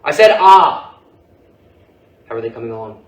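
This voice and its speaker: Animated, dry-humored dad played by a man. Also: mid-range voice, moderate pace, natural voice. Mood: dry, teasing. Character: patient but exasperated, dry-humored middle-aged father. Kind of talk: staged play reading. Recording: roomy, distant mic, faint noise.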